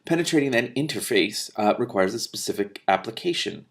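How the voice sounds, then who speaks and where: Man reading as pompous, wordy magician, low creaky voice. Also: expressive, brisk. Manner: wordy, lecturing, dignified. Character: pompous, self-absorbed male magician and researcher.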